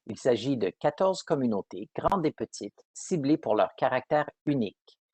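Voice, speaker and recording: Husky-voiced man presenting. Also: mid-range voice, very animated, normal speed, a little mellow, no room sound. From Ontario, Canada. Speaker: community program coordinator. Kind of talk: prepared webinar presentation.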